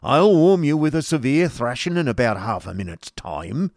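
A pompous, grasping schoolmaster pitches his school in a loud, raised, wheedling patter, as a man puts him on. He is a blustering middle-aged man who oversells everything. The sound is dry and close. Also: sing-song, normal speed, no room sound.

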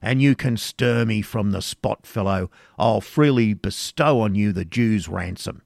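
A burly medieval friar from a storybook, acted out by a male narrator, blustering and spoiling for a fight. His speech is archaic and bombastic, in a fairly low voice recorded close and dry. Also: measured pace, creaky, darkish tone, dry recording. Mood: bold defiance.

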